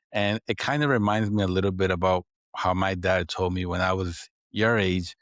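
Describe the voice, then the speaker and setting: Deep-voiced man with Dominican accent. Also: dry recording, clean studio sound. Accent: Dominican Spanish. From Dominican Republic. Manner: explanatory, frequent "right?" tags. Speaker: guest, accounting firm owner. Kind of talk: podcast interview.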